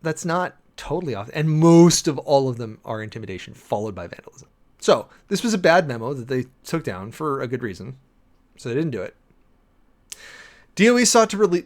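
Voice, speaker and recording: A man's voice: mid-range voice, sing-song, darkish tone. Emphatic man reading aloud and commenting. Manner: emphatic, argumentative, with rhetorical questions and deliberate pauses. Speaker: preacher and commentator. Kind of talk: dramatic reading of a document with off-the-cuff spoken commentary.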